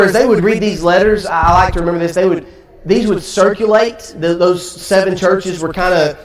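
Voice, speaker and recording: A man with a Southern American accent teaching a Sunday Bible class in a conversational way, acting out quotes as he goes. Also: on the high side. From Tennessee. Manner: conversational, acts out quotes, light laughs. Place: church auditorium. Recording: echoey room, from far off.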